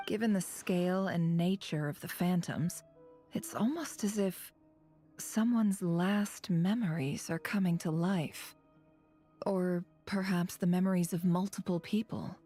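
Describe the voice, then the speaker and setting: A woman playing a composed female scholar-official in a video game, formal and measured as she explains. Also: on the low side, warm tone, faint music behind, natural voice. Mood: measured, serious.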